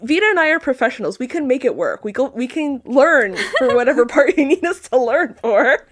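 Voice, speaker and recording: A woman chatting casually as a voice actor guest on a podcast, with an animated, jokey manner. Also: high voice, a little fast. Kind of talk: casual chat.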